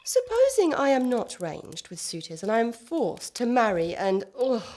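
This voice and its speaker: A woman's voice: a little high, huge pitch swings, moderate pace, a little mellow. An actress playing a sardonic, imperious young noblewoman, a reluctant bride in a comic period radio play. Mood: disgusted. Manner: imperious, dry sarcasm, mock-archaic speech. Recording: studio radio play with music.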